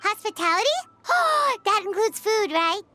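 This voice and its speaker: A woman voicing a tiny, eager, food-loving floating companion in an anime-style video game, squeaky, childlike and excitable. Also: unhurried, heavily acted. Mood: surprised, eager. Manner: excitable, refers to self in third person. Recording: music playing behind the voice.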